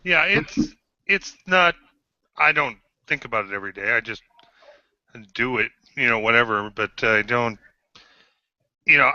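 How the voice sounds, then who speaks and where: A man in his fifties, a guest on an online panel, speaking haltingly with many pauses and restarts. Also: mid-range voice, pushed and bright, dry recording, lo-fi sound. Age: 50s. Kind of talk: casual group chat.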